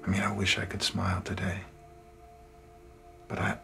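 Downcast middle-aged husband, low register, hesitant and subdued, blaming himself, naturalistic film drama. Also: male voice, steady tone, normal speed, music in the background. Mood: guarded, dejected. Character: downcast, self-blaming husband and father struggling with money.

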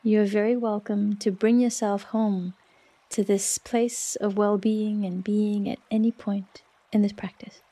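A woman leading a guided relaxation meditation in a soft, soothing, unhurried manner with long pauses. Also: medium pitch, level tone, husky. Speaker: yoga nidra meditation guide.

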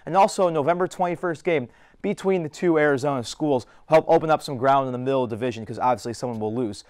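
Quick, crisp young sports reporter. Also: male voice, mid-range voice, darkish tone, no room sound, studio quality. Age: young adult. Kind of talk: scripted sports analysis. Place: TV studio.

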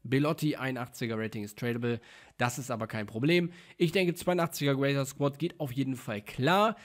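A man's voice: medium pitch, fairly quick. A young man, slightly sick, chatting casually and upbeat as a gaming video host, with frequent fillers, recorded at his desk indoors. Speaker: gaming YouTuber. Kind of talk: casual gameplay commentary.

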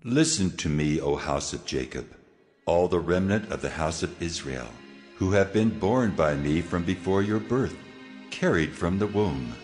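God in a dramatized scripture reading. The voice is very deep, measured and full of solemn authority. Faint music runs underneath. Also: male voice, expressive, darkish tone. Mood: solemn, commanding. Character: God, solemn, commanding male deity speaking to his people.

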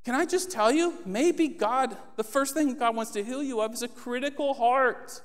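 A man's voice: extremely high pitch, moderate pace. A man in his thirties preaching a passionate sermon on a church stage, speaking into a handheld mic and rising to emphatic appeals. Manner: passionate, builds to emphatic exhortation. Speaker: pastor. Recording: long hall reverb.

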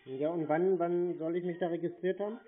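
A man's voice: high-pitched, fairly even intonation, fairly quick. A man in a confrontational phone exchange, blunt, insistent and sarcastic. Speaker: prankster answering scam calls. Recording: tinny narrowband phone line.

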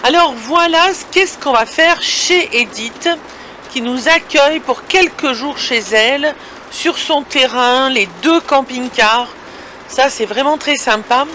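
A woman's voice: high-pitched, takes it slowly, pushed and bright. A woman casually narrating a vlog from a camper van, chatty and speaking directly to viewers. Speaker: vlogger. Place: inside a moving or parked camper van. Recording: vehicle engine and road noise.

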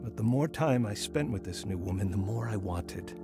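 A man's voice: lowish voice, lively intonation, normal speed, close to an everyday voice. Man playing a regretful father, music behind. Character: regretful married schoolteacher father telling his life story. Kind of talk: radio drama narration and dialogue.

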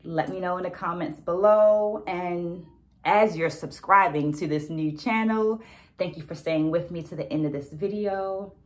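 A woman in her thirties giving a reflective, deliberate explanation of a book as a vlog host, talking to camera. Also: on the low side. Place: small indoor room at home.

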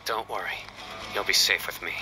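An actor playing a stoic, resolute desert lawman in a video game, speaking in a measured, solemn, formal way. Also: low voice, fairly even intonation, close to an everyday voice. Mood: reassuring. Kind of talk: scripted video game dialogue. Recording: music behind the voice.

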